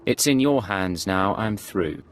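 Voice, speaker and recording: Game spy lead: lowish voice, composed, curt, suave. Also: male voice, animated, unhurried, background noise. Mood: cool, curt. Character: cool, self-assured secret agent posing as a nobleman. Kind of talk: scripted video game drama acting.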